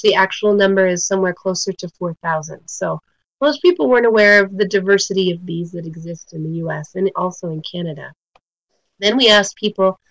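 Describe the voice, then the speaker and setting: A woman's voice: medium pitch, no echo, low-quality recording. A woman walks listeners through a webinar lecture in an easygoing, friendly way, with plenty of ums. She is just getting over a cold. The recording is dry, with no room sound. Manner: friendly, informal explaining with frequent ums. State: recovering from a cold. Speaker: guest speaker, bee biologist. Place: home in New Mexico.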